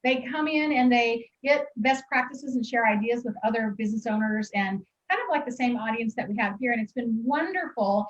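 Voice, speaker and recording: A woman's voice: a little high, a little fast, few pauses. Chatty Kansas woman, muffled call audio. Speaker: business coach, host. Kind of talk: interview over video call.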